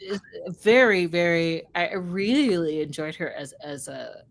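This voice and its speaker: A woman's voice: fairly low pitch, lively intonation, measured pace. A woman in a casual book discussion, talking conversationally with lots of "like" and "you know".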